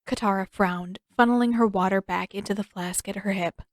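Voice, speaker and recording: A woman's voice: medium pitch, steady tone, brisk, dry recording, studio quality. A woman reading scripted fiction as an audiobook narrator.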